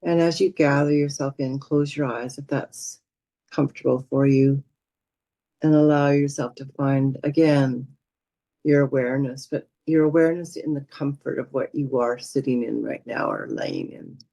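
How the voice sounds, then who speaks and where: Mellow, low-key woman guiding meditation. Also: lively intonation, creaky, no echo. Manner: gentle, soothing, unhurried with pauses. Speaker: meditation teacher. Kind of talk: guided meditation instruction.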